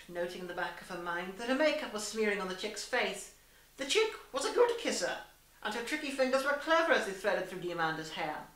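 A woman's voice: medium pitch, fairly bright tone. A young woman reading a story aloud in a theatrical, arch, mock-genteel manner. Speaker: story reader. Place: small room indoors. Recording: echoey room, distant mic.

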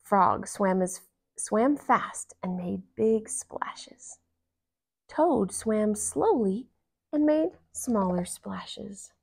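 A woman in her thirties reading a children's story aloud with expressive, deliberate narration. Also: medium pitch, vocal fry, slightly rough. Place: living room, indoors on a couch.

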